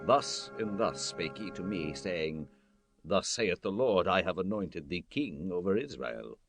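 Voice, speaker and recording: A man playing a grave, guarded ancient Israelite army captain in a dramatized scripture reading, speaking in a low-key, deliberate way. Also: animated. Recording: voice over background music. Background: music.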